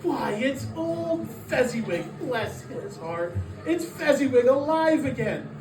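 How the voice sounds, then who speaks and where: A woman's voice: high voice, very animated, takes it slowly, full character voice. A woman playing an elderly, emotional Scrooge in a dramatic stage reading, wistful and exclamatory. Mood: excited. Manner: exclamatory, wistful, repeating words. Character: elderly Scrooge, emotional man revisiting his past. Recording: roomy, distant mic, noisy hall recording.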